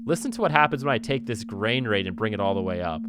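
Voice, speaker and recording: A man narrating a casual music software tutorial as an instructor, explaining things conversationally and thinking aloud as he goes. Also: on the low side, lively intonation, fast, background noise.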